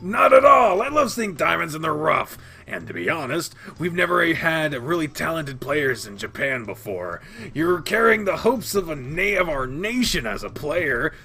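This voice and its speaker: Man acting a loud, gushing, excitable dad. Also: a little high, moderate pace, creaky, soft background music, pitched above the performer's own voice, full character voice. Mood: awed, gushing. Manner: breathless gushing, stammering with excitement. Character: gushing, childishly excitable middle-aged dad and sports fan.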